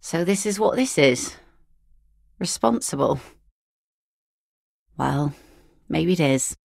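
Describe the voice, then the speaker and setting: A gravelly, deadpan net mender. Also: female voice, deep voice, slow, no room sound, exaggerated voice. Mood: wry. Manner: dry, deadpan and teasing. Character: weary, sardonic adult female net mender with kids. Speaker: voice actress. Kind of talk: video game voice lines.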